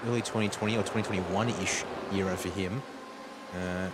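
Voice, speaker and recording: A man's voice: low voice, fairly even intonation, a little fast. An Australian man giving chatty race analysis as co-commentator, with frequent fillers. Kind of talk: live sim racing commentary. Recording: loud game car noise behind.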